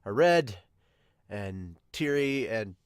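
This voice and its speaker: A man's voice: lowish voice, animated, slow pace, clean tone, no room sound. A man with congested sinuses hosting a casual reaction show, rambling and self-deprecating with some mild swearing. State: congested, sniffly from sinus trouble. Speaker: podcast host and manga reactor.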